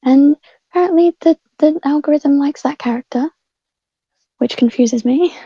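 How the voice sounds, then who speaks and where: A woman's voice: high-pitched, normal speed, dark tone, dry recording. A woman chatting casually as a podcast guest, recorded on a dull lo-fi microphone. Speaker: voice actor guest. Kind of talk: casual chat.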